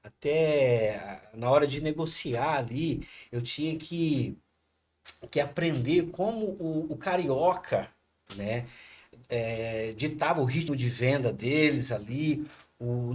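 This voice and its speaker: A man spinning anecdotes as a podcast guest, his voice sitting at an ordinary mid pitch. He talks in animated bursts, peppering every thought with little tag questions. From Paraná, Brazil. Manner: animated anecdotal telling, frequent "né". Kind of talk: storytelling in casual conversation.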